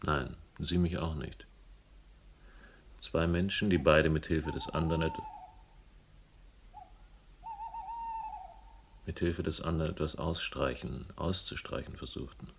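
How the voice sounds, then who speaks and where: An actor playing a world-weary, sardonic hero of a radio crime drama, speaking in a dry, laconic way. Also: male voice, a bass voice, normal speed, vocal fry. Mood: guarded, wry. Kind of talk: German radio crime drama, first-person narration and dialogue. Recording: faint noise, older radio production.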